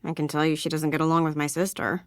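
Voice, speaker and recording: A woman voicing a guarded, sarcastic young American misfit in scripted video game dialogue, with a casual, sullen, defensive deadpan. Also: deep voice, fast, no room sound, clean studio sound, close to an everyday voice. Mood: wary.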